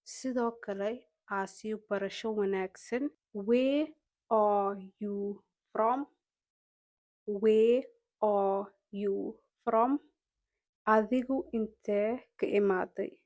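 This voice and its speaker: A woman with a Somali accent teaching English phrases, saying each line deliberately and repeating it for learners, then explaining in Somali. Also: medium pitch, steady tone, a little mellow, dry recording. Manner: slow, deliberate drill repetition, switching to Somali explanations. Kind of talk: slow language lesson, repeating phrases.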